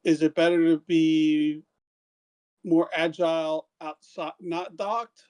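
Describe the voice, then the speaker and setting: Brief: tabletop player, mid-pitched, casual, inquisitive. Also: fairly even intonation, slow pace, darkish tone, clean tone. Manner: questioning, casual. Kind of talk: casual tabletop game chat.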